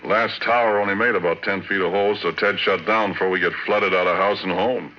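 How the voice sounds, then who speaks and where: Man acting a deep-voiced, folksy oil rig hand. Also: steady tone, brisk, lightly acted. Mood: easygoing, joking. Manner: folksy, colloquial, chuckling drawl of a working man. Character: folksy, easygoing oil rig hand, narrating his own tale. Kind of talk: old-time radio horror drama acting. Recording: dull, noisy old radio recording.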